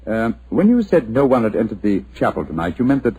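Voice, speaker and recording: Cool, exact detective, measured and authoritative, lively pitch. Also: male voice, slightly rough, full character voice, poor audio. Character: calm, clever male detective.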